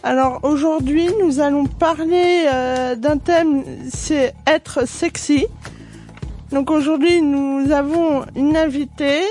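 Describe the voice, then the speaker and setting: High-voiced woman host announcing the show, faint music. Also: fairly even intonation, takes it slowly, a little mellow. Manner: announcing, reading out the program. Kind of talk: amateur radio presenting.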